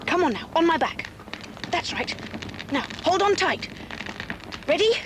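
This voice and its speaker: A woman with an English accent playing a brave, no-nonsense schoolgirl in a children's audio drama, brisk and commanding. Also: very high-pitched, sing-song, background noise, full character voice. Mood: firm, coaxing.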